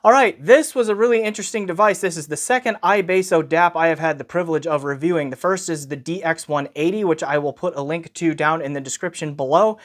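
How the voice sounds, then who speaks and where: A man's voice: a little high, fast talker, hardly any pauses, no echo, clean studio sound. A man giving an informal product review as a channel host, conversational and wordy with technical asides.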